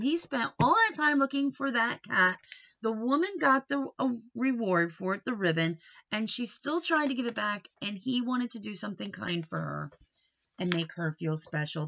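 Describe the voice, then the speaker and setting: A middle-aged woman reading a children's picture book aloud expressively, pausing for questioning asides to young listeners. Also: medium pitch, bright, forceful tone, lo-fi sound. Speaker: storybook reader. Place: small wood-panelled room indoors.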